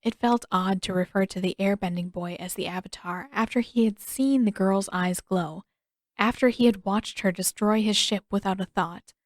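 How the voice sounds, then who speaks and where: A woman's voice: mid-range voice, moderate pace, no echo. Woman narrating fiction, dry close sound. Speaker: amateur audiobook narrator. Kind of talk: scripted story narration.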